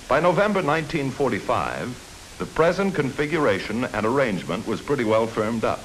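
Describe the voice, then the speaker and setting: An old film soundtrack with clear hiss carries an American man's narration. He reads in a scripted 1950s military training style, measured and instructive. His medium-pitched voice moves expressively up and down, with firm emphasis on key points. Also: low-quality recording.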